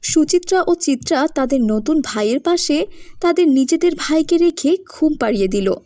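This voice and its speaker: A woman's voice: sits high, lively intonation, normal speed, dark tone, slight background noise. A woman narrating a scripted cartoon story as a storyteller.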